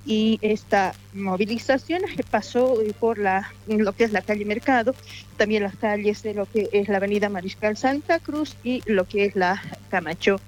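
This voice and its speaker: A woman's voice: medium pitch, moderate pace, low-quality recording. A woman with a Bolivian accent giving an improvised live news report as a field reporter, wordy and a little hesitant.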